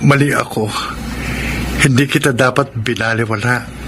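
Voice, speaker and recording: An actor as an earnest husband, noisy ambience. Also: male voice, medium pitch, fairly even intonation, moderate pace, close to an everyday voice. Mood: remorseful. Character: Ramil, earnest apologetic husband and loyal younger brother. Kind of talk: Filipino radio drama acting.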